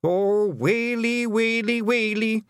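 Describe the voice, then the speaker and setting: High-pitched tiny Scottish warrior, cheeky and nervous. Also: male voice, big pitch swings, normal speed, a bit bright, no echo, studio quality, soft background music. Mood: wailing, despairing. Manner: muttering, pleading, rousing his companions.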